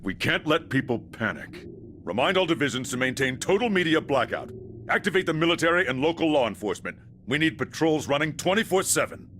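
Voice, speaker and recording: An actor playing a hot-tempered military chief with an urgent, authoritative manner in a video game. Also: male voice, fairly high pitch, very animated, fairly quick, fairly bright tone, a little hiss or hum. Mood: urgent, commanding. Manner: urgent, authoritative, barking orders. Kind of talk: video game cutscene acting.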